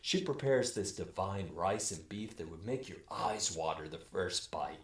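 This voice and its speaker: A man's voice: deep voice, expressive, a little slow. A man telling a scripted comic story in the first person, with a mock-formal bedtime storyteller delivery. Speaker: storyteller, webcast regular. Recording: roomy, echoey voice recording.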